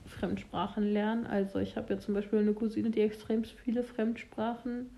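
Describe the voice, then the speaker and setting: A young woman chatting candidly in casual conversation, with some halting restarts. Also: mid-range voice, little pitch movement, warm tone. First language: German.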